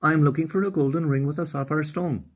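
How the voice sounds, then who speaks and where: Man putting on a high, earnest little girl. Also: a little fast, highs cut off, natural voice. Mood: confident, questioning. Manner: earnest, high-pitched pleading. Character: brave, polite little village girl. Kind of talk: children's storybook read-aloud.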